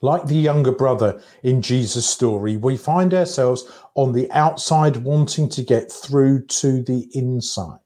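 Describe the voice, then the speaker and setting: A man giving a reflective sermon in a measured, contemplative way, breaking his thoughts into short phrases. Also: medium pitch, dark tone. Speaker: preacher.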